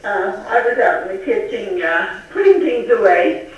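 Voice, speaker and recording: A woman's voice: mid-range voice, sing-song, normal speed, highs cut off. A woman with an American accent chatting casually over two-way radio as an amateur operator. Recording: very echoey, distant radio link.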